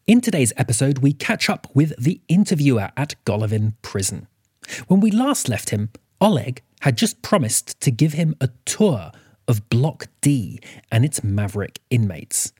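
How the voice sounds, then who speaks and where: A man's voice: mid-range voice, big pitch swings, normal speed, darkish tone, breathy edge, dry recording, clean studio sound. A man hosting an audio fiction podcast, reading a scripted announcement to his listeners. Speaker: podcast host introducing episodes.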